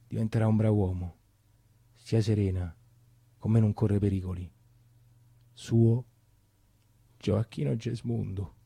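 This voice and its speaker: An actor playing a Roman man recalling his wartime boyhood in an emotional, colloquial, halting theatrical monologue with a Roman accent. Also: fairly low pitch, vocal fry, dark tone, husky, no echo. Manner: colloquial, halting, repeating himself. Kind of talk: theatrical first-person monologue in dialect.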